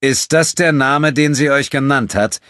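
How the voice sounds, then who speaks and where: A man's voice: mid-range voice, measured pace, fairly bright tone, no echo, studio quality, voice pushed up, lightly acted. A man voicing a hard, commanding stranger in an audiobook, speaking in a curt, menacing manner.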